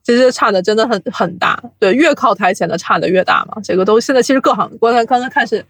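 A woman's voice: fairly high pitch, fast talker, dry recording. A woman guest on a podcast, explaining things casually in a giggly, somewhat hesitant way. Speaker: podcast guest, audio drama producer. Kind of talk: casual chat.

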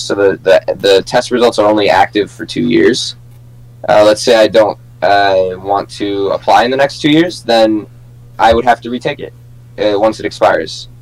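A man's voice: on the low side, low-quality recording. A young man co-hosting a podcast, chatting casually and telling stories among friends. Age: young adult. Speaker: podcast co-host.

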